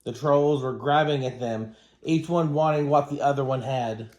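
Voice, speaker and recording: A man reading a children's story aloud as a teacher, in an expressive, dramatic manner. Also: mid-range voice, normal speed. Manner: dramatic, acts out dialogue. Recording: roomy, echoing room sound.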